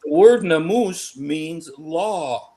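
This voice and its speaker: A man, raised in the United States, talking in a casual online panel discussion, emphatic and excitable, often restarting and repeating words. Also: a little high, very slow. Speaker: panel guest.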